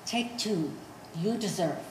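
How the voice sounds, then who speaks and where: Woman playing a slow-spoken old mother, far off. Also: medium pitch, animated. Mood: approving. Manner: short, simple phrases. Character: kindly old mother of few words. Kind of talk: one-act stage play performance. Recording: distant, noisy, echoey gallery recording.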